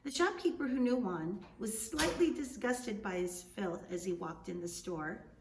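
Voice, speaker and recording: Expressive woman storyteller in an echoey room. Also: on the high side. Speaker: author reading storybook aloud. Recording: echoey room, distant mic, faint noise.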